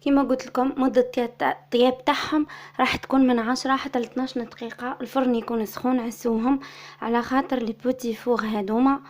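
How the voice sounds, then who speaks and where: A woman with an Algerian Arabic accent who is slightly hoarse from illness, calmly explaining a recipe as a cooking-channel host. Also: on the high side, quick pace. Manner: soft, subdued, steady explaining. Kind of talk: recipe tutorial voice-over.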